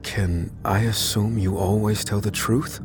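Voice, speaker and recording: A man playing a tense but steady lead character who narrates an audio drama in a measured, dramatic way. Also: lowish voice, music in the background, natural voice. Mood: guarded. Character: unassuming armed man hunting a killer, tense but steady.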